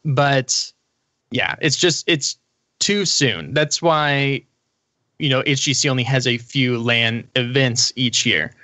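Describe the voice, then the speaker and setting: A man's voice: mid-range voice, slow pace, sharp tone, no room sound. A man on a podcast panel, talking casually about gaming in a rambling, explanatory way.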